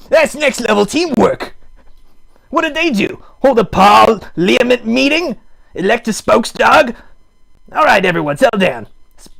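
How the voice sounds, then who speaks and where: A man voicing a silly, excitable puppet schemer in an improvised puppet show, with a raspy, gravelly voice and animated, mock-serious delivery. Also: extremely high pitch, exaggerated voice. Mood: mock indignant.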